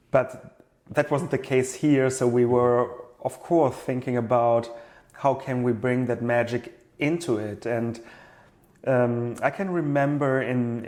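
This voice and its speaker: Male interviewee, product designer: mid-pitched, thoughtful, halting explainer who circles back over his words. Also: fairly even intonation, a little mellow.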